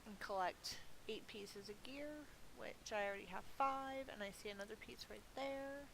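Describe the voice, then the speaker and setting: A woman's voice: a little high, moderate pace, a bit bright. A middle-aged woman giving casual, playful gameplay commentary, thinking aloud and murmuring to herself as she goes. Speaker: gamer streaming her play. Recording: clearly hissy, noisy home recording.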